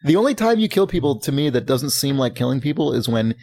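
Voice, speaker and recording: A man's voice: mid-range voice, very animated, fast talker, a little mellow. A man casually chatting about video games as a podcast host, with a profane, dryly joking way of talking.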